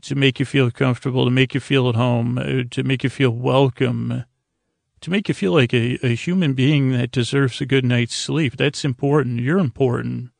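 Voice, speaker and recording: A man with a creaky voice hosting a bedtime podcast, rambling in a halting, lulling and soothing way to help listeners sleep. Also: medium pitch, steady tone, dry recording, studio quality. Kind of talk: rambling, meandering monologue for falling asleep.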